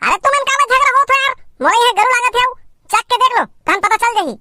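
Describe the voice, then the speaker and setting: An excitable village man from a comedy cartoon, voiced by a man, squeaks in an extremely high, pitched-up voice. The tone is bright and sharp, with a Chhattisgarh accent. He exclaims, teases and grumbles, and the sound is very dry. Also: a little fast, no echo, heavily acted. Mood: grumbling, impatient. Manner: exclamatory, teasing, complaining. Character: excitable, grumbling male villager on a picnic outing.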